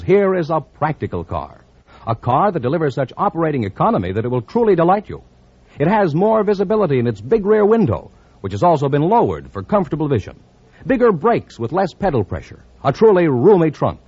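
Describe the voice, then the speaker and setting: A man's voice: a little high, darkish tone, slightly rough, no room sound. An American man announcing for radio in a polished, promotional, scripted 1950s style. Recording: muffled old-time radio recording.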